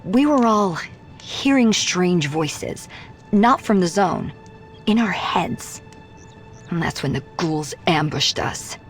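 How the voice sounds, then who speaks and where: Low-pitched, tough mutant scavenger. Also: female voice, animated, slow pace. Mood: earnest. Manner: dry, self-assured. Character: tough, sardonic young mutant woman, seasoned scavenger. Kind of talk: video game character dialogue. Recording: voice under game music.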